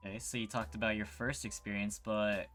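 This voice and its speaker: A man hosting a podcast, asking interview questions in a brief, low-key way. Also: little pitch movement, brisk, a bit bright, faint music behind. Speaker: podcast host.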